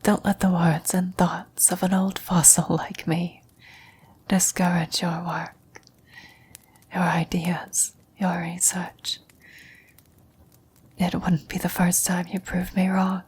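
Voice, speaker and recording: Woman acting a dying old woman's husky, slow whisper. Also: on the low side, little pitch movement, darkish tone, exaggerated voice. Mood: tender, grateful. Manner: slow, solemn, measured delivery. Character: dying old woman, gentle and wise, bidding a lover farewell. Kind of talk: whispered ASMR roleplay monologue.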